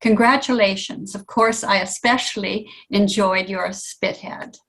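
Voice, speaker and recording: A woman hosting an online event informally, speaking haltingly with pauses between phrases. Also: medium pitch, fairly even intonation, vocal fry, fairly bright tone, low-quality recording. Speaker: host of a book launch.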